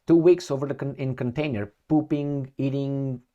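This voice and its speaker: A man's voice: medium pitch, fairly even intonation, normal speed, warm tone, lo-fi sound. Sarcastic middle-aged man with a Romanian accent. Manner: sarcastic, profane, with rhetorical questions. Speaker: political commentator. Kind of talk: ranting commentary.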